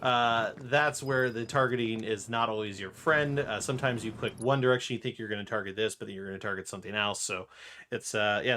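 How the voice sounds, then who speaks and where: A man's voice: lowish voice, fairly bright tone. A man giving informal, jokey live commentary on his speedrun as he plays. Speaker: speedrunner commentating his own game run. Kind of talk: casual live stream game commentary. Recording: game music behind the voice. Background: video game music.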